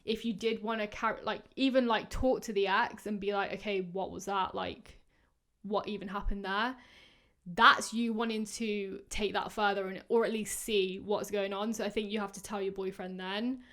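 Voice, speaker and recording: A woman in her twenties hosting a podcast, giving casual, rambling advice full of fillers, her voice going hoarse. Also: mid-range voice, fairly even intonation, moderate pace, a bit bright. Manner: chatty, rambling, many fillers. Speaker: podcast host. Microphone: podcast microphone.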